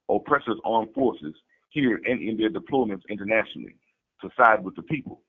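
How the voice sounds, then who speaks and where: A tinny, narrow-band recording carries a low-voiced man with a rough, husky edge. He reads a political statement in a formal, declarative way, setting out each point as scripted doctrine. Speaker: political activist reading a party strategy statement. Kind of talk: scripted reading of a political manifesto.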